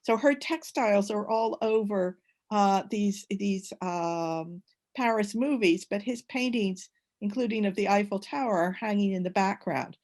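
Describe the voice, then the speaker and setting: A woman on an academic panel, talking in a measured, hesitant way with many filler sounds over a video call. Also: medium pitch, a little mellow.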